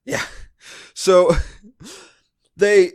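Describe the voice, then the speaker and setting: A man's voice: high voice, little pitch movement, extremely slow, darkish tone, smooth. A man hosting a podcast, recapping a movie in casual chat with dry, sarcastic asides. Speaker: podcast host.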